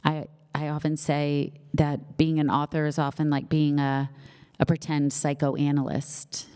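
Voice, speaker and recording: Low smooth-voiced lady author w slight lisp, chatty jokey, echoey hall. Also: monotone, unhurried. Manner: warm chatty, self-deprecating jokes. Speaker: author at her book launch. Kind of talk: casual conversational interview. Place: live event hall with audience. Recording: long hall reverb.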